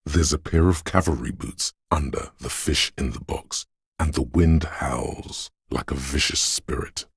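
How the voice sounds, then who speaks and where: An actor playing the solemn, brooding spirit voice of a city, narrating a video game in a husky, poetic, ominous way. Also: male voice, dry recording, clean studio sound, full character voice. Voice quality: very deep, gravelly, husky.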